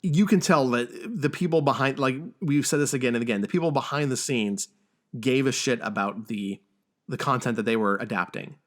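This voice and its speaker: A man hosts a relaxed, conversational podcast about films. His delivery is halting, with stammered starts and repeated words as he works toward his point. His voice sits at an ordinary middle pitch for a man. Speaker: podcast host. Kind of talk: casual film discussion podcast.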